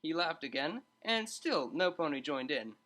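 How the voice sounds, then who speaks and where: Female storyteller, lowish bright voice, animated scripted narration. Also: normal speed.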